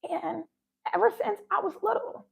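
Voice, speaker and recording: A woman's voice: very high voice, normal speed, gravelly. A woman giving a heartfelt spiritual talk, her voice shaky and sounding close to tears. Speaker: spiritual vlogger.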